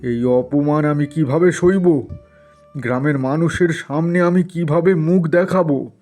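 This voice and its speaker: Cartoon landlord father, middle-aged, played by a man: stern, commanding, dark-toned, measured pace. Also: on the high side, faint music behind, lightly acted. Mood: hurt, indignant. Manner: indignant, commanding. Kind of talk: cartoon story voice acting.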